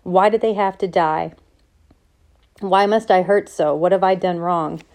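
A woman's voice: on the low side, fairly even intonation, unhurried, darkish tone. A woman voicing a grieving, stubborn farm boy in a storybook, plaintive and protesting. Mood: anguished, grieving.

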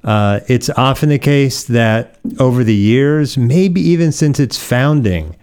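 A middle-aged American man from Oregon, a pastor being interviewed, explaining things conversationally with thoughtful, measured pauses. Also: medium pitch, lively intonation, a little mellow, clean tone. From Oregon, United States.

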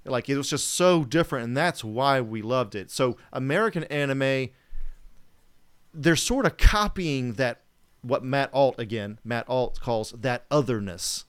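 Smooth-voiced man musing casually. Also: mid-range voice, measured pace. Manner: musing, loose with fillers. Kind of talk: casual chat.

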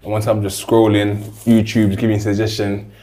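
A low-pitched man with a London accent hosts a casual interview. He asks rambling, conversational questions in a relaxed tone. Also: moderate pace. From South London. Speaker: interview host.

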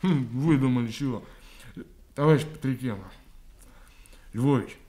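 An actor playing a naive, good-hearted young policeman in a radio drama, plaintive and halting as he repeats himself. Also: medium pitch, animated, dark tone, close to an everyday voice. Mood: aggrieved.

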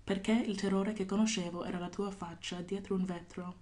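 A woman poet from California, a guest on a podcast, talking thoughtfully with many pauses and fillers and reading her poem. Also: mid-range voice, steady tone, darkish tone. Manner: thoughtful, hesitant with many fillers, laughs often. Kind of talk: podcast interview with poetry reading.

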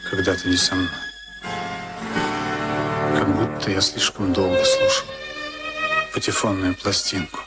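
An actor playing a brooding, stubborn boxer in a Soviet radio play, speaking in an intense, introspective, insistent way. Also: male voice, low-pitched, low-quality recording. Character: brooding, stubborn professional boxer before a fight. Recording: archival radio drama, loud music behind.

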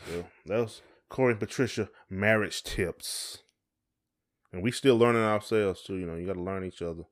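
A man's voice: deep voice, normal speed. A middle-aged man chatting casually and colloquially as a podcast co-host. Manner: colloquial, conversational. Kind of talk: casual chat.

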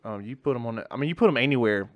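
A man's voice: mid-range voice, animated, rapid-fire, no room sound. A male podcast co-host in casual chat, rambling and swearing, often restarting his sentences, with hiccups.

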